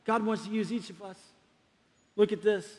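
A middle-aged pastor from Texas delivers a sermon in a high male voice. He is emphatic and urging, often repeating a phrase to drive it home. The sound rings with long hall reverb. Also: fairly even intonation, moderate pace. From Texas, United States. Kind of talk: sermon to a congregation.

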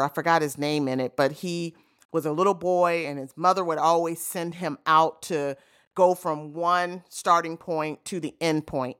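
A woman's voice: fairly low pitch, clean tone. A woman in her fifties hosting a podcast, talking reflectively and telling stories with easy asides. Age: 50s. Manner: warm, reflective storytelling with frequent "you know" asides. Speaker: podcast host. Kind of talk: reflective solo monologue.

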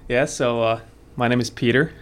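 A young man with a Norwegian accent chats as a podcast guest. He hedges and restarts often, filling pauses with ums and uhs. His voice sits at an ordinary pitch for a man. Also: steady tone. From Norway. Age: young adult. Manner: hesitant, many fillers and restarts. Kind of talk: casual chat.

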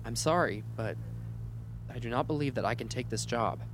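An actor playing a soft-hearted doctor troubled by ethics in an audio drama, earnest, hesitant and polite. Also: male voice, mid-range voice, moderate pace, lightly acted. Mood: firm, principled. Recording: faint noise and hum.

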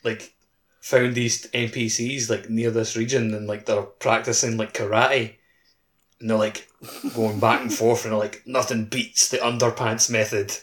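Lowish guy just rambling casually about games on a podcast, lots of likes. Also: level tone, measured pace, a bit bright. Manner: rambling, peppers speech with "like". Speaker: podcast co-host. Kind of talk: casual video game chat.

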